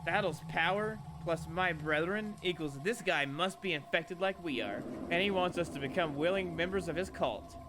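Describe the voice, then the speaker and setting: A man's voice: high-pitched, normal speed, few pauses, noisy background, close to an everyday voice. Man reading as wry, melodramatic game heroine. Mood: sardonic, dramatic. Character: sarcastic, dramatic young woman heroine narrating. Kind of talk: reading visual novel narration aloud.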